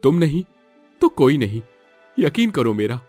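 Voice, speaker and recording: A man's voice: on the high side, big pitch swings, unhurried, a little mellow, faint music behind. A man voicing an earnest, lovestruck young son of a village chief, emotional and pleading, in a moral-story cartoon. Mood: pleading, loving.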